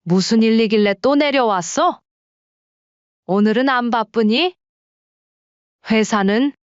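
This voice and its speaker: A woman's voice: fairly high pitch, slow pace, clear, resonant tone, no echo, dull audio. A woman voicing a widowed older farm mother who is wary and blunt with her sons. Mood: suspicious.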